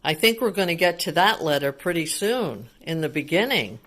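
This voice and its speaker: A woman's voice: on the low side, sing-song, normal speed, fairly bright tone. A woman reading a picture book aloud to young children, asking simple questions and explaining things as a teacher would.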